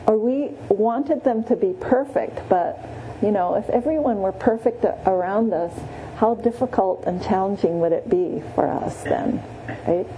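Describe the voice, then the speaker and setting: Woman meditation teacher, slow and gentle, dark mellow tone, faint background hiss. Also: mid-range voice, poor audio. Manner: gentle, many pauses and restarts, frequent "yeah". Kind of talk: guided Buddhist meditation teaching. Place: meditation room.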